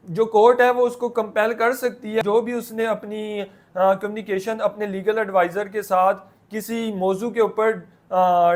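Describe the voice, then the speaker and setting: Male lecturer, Pakistani accent, notably high voice; didactic, step-by-step explainer who restates each point. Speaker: law lecturer. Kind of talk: explanatory lecture, Urdu mixed with English legal terms.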